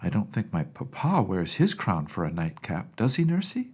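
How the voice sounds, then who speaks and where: A man's voice: sits low, wildly swooping pitch, normal speed, husky, full character voice. A man voicing an earnest, indignant little girl princess in storybook dialogue, emphatic and pleading in a childlike way.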